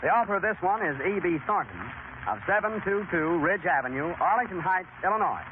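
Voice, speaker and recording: A noisy, narrow-band 1930s broadcast carries an American man with a high voice. He hosts in a chatty, folksy style, talking directly to the folks at home. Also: lively intonation, fairly quick. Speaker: radio quiz show host. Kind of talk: 1930s radio presenting. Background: clear broadcast noise.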